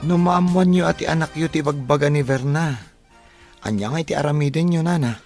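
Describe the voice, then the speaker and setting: A man playing a grown son reassuring his worried mother, with a gravelly voice, in a Philippine radio drama. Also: a little high, brisk, a little mellow, faint music behind, close to an everyday voice.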